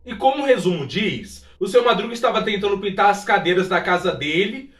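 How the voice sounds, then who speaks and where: A Brazilian man with a notably high voice narrates an informal video essay. He speaks straight to the viewer with chatty, exclamatory asides. The sound is echoey and set well back from the microphone. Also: animated, brisk, fairly bright tone, faint music behind. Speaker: YouTube presenter of a video essay on a TV sitcom. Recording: roomy echo, distant from the mic.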